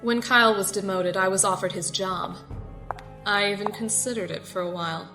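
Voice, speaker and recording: A woman acting in an audio drama as a controlled, condescending security captain with a formal, measured manner and polite menace. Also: mid-range voice, fairly even intonation, over music, lightly acted. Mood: coolly threatening.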